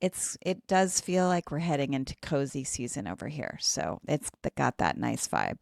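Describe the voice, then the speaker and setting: Low, gravelly-voiced woman with a morning frog, slow casual chat, dry close sound. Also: sing-song, a little mellow, no room sound. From Northeast US. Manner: slow, descriptive, with a morning frog in her throat. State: frog in her throat. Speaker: podcast co-host.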